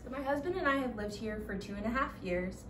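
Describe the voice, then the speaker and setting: A woman's voice: a little high, lively intonation, fast talker, background noise. A young stay-at-home mom, pregnant, giving an upbeat, chatty tour of her small home while walking room to room. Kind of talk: casual home tour vlog. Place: small home with kitchen, nursery and bathroom. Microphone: camera a few steps away. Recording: roomy, slightly distant sound, faint ambience.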